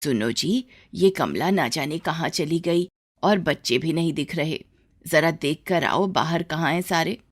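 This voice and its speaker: A woman's voice: deep voice, a little slow, slightly rough. A woman voicing a scolding, worried elderly mother-in-law in a cartoon story, nagging and exasperated.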